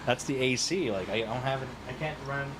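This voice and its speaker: A man's voice: mid-range voice, a little fast, a crowd in the background. Man rambling through a casual chat. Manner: rambling storyteller, laughs often, stumbles and restarts. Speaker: podcast co-host.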